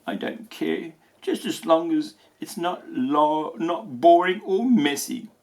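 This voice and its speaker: A man doing a whiny, fussy little boy, animated. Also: fairly high pitch, slow pace. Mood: reluctant. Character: reluctant, fussy young boy who hates mess.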